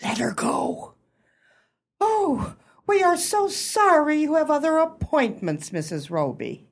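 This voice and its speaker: A prim club hostess played by an actress in a radio play, her high voice swinging widely. She is formal and genteel, anxiously fussing to keep things proper. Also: sing-song, slow pace, heavily acted. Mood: flustered.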